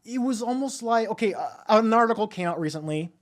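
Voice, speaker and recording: Higher-pitched man in casual podcast chat. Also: expressive, fairly quick. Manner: rambling, hesitant phrasing with frequent "you know". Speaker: podcast host reviewing films. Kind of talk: casual film discussion.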